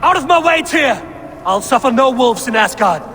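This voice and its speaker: An actor playing a wrathful Norse god in a video game, speaking in an imperious, suspicious, declamatory way. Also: male voice, extremely high pitch, animated, moderate pace, noisy background. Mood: furious. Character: wrathful, commanding Norse god, male wanderer and warrior. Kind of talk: video game cutscene acting.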